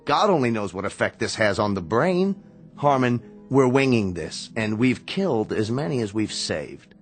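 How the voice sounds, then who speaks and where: A man acting as an exasperated younger brother, a physician in a radio drama. He speaks at a medium male pitch, emphatic and sardonic, with every point articulated. Music runs underneath. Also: sing-song, moderate pace, natural voice. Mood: urgent, adamant.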